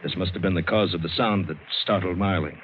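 A man's voice: deep voice, fast, natural voice. An American actor playing a tough, wry seaman who tells his adventure in vintage radio drama style. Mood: tense. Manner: hard-boiled, wry, dramatic. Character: tough, wary, wisecracking seaman and adventurer telling his tale. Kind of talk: 1950s radio drama acting with first-person narration. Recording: scratchy, hissy narrowband old radio recording.

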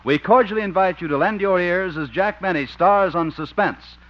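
A man's voice: high-pitched, moderate pace. A man reading a scripted announcement in the formal, polished style of a 1950s radio announcer. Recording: muffled old radio recording.

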